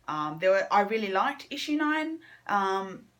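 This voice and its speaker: A woman's voice: mid-range voice, very animated, measured pace, fairly bright tone. A woman chatting casually as a book reviewer, enthusiastic but hesitant, rambling as she goes. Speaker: book reviewer on own video channel. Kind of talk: casual chat to camera, monthly reading wrap-up.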